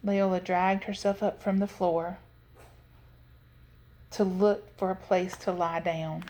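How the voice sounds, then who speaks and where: A woman storyteller giving an expressive read-aloud narration of a children's story. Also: lowish voice, takes it slowly.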